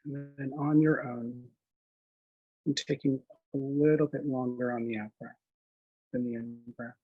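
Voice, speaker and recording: A man leading a guided meditation in a gentle, unhurried manner, leaving long pauses between short phrases. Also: mid-range voice, steady tone, phone-quality sound. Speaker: meditation instructor.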